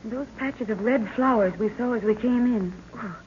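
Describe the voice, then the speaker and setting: A woman's voice: on the high side, moderate pace, lightly acted. An actress playing an uneasy, sensitive young woman on a jungle trek in a 1930s radio adventure, plaintive and dramatic. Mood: fearful. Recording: muffled, noisy 1930s radio recording.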